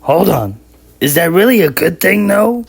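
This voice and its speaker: A man's voice: sits high, big pitch swings, unhurried, husky, dry recording, heavily acted. An actor playing a wary young male pirate who talks big, with a placating manner, in an anime audio drama. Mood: uneasy.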